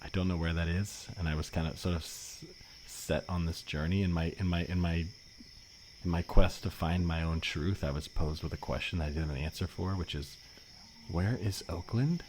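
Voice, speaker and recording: Deep-voiced, earnest know-it-all economist, played by a man. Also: darkish tone, close to an everyday voice. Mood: earnest, searching. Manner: halting, earnest explaining. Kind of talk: improvised comedy podcast acting. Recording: faintly noisy remote podcast recording.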